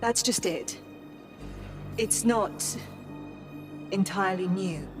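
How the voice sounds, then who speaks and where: Woman playing a polite ai helper lady, formal, calls him sir, clear game music under her. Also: mid-range voice, slow, close to an everyday voice. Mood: measured. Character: calm polite female AI assistant. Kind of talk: video game dialogue.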